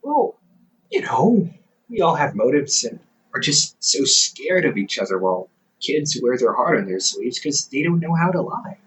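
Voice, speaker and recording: A man's voice: medium pitch, very animated, normal speed, fairly bright tone, low-quality recording. A man voicing a playful young adult older brother who works as a company therapist, teasing the listener and laughing often in an audio roleplay.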